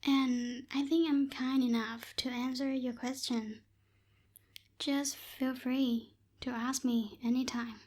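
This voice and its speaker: A young woman voicing a bossy but secretly caring tsundere witch in a close-miked ASMR roleplay, softly scolding and a little hesitant. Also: sits high, level tone, slow pace. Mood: firm, instructive. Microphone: close binaural microphone.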